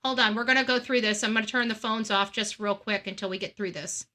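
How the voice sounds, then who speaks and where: A woman's voice: mid-range voice, fast talker, bright, forceful tone. A woman hosting a live call-in show in a casual, blunt, opinionated way.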